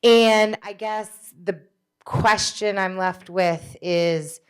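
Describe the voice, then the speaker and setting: Woman with a big smooth voice. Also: medium pitch, fairly even intonation. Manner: big voice, thoughtful, with pauses. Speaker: audience member. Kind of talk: speaking up from the audience. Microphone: handheld microphone.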